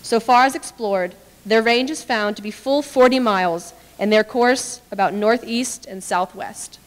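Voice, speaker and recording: A young adult woman reading historical documents aloud in a formal, measured, declamatory way. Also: fairly high pitch. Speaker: dramatic reader. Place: large brick-walled hall with stage, indoors. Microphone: podium microphone. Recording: echoey hall with long reverb.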